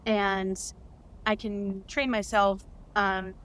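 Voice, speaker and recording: A woman's voice: medium pitch, flat delivery, very slow, fairly bright tone, clear, resonant tone. A woman guest on an interview, an audio drama creator, speaking enthusiastically with frequent ums. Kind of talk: casual interview answer.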